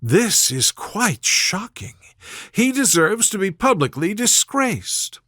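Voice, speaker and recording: A man's voice: high voice, sing-song, slow pace, pushed and bright, dry recording, clean studio sound, voice pushed up, heavily acted. A man voicing a spirited, indignant young genteel woman from a Regency storybook, exclaiming earnestly in formal phrases. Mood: shocked, indignant. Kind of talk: storybook character voice in audiobook reading.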